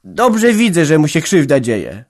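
A man's voice: fairly high pitch, very animated, a little slow, dry recording, clean studio sound, voice pushed up, full character voice. A man doing a sulky young village woman. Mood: defiant. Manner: defiant, sulky retorts. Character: stubborn tearful young peasant woman.

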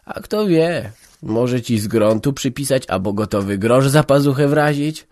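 A man's voice: medium pitch, expressive, unhurried, a little mellow, dry recording, natural voice. A man playing a stern old peasant mother. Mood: scolding. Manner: scolding, insistent, folksy speech.

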